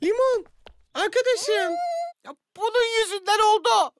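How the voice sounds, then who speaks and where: A woman voicing an excitable young cartoon character in a Turkish dub, full of exclamations and pleading. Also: very high-pitched, measured pace, a little hiss or hum. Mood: anxious. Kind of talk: dubbed cartoon acting.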